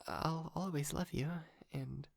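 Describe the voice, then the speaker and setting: A man playing a shy, polite, lovestruck yandere kidnapper in an intimate ASMR roleplay, stammering, hesitant and softly doting. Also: a little high, takes it slowly, creaky, dry recording, clean studio sound, full character voice. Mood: tender, devoted.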